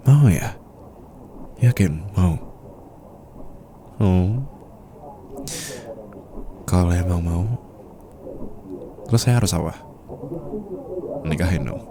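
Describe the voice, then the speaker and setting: Deep, slow-talking possessive Indonesian boss played by a man. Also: noisy background, heavily acted. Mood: flirty, tender. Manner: flirtatious, teasing, playfully domineering. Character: possessive, teasing male boss and doting fiancé. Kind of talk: intimate ASMR roleplay. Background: ambient room sound effects.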